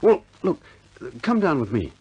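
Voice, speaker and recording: A playful flyer in a 1950s war film. His husky voice sits a little high and leaps about with great animation, coaxing and ribbing. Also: wildly swooping pitch, a little slow, a little mellow, dull audio, full character voice. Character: jaunty, flirtatious RAF airman ribbing his friend.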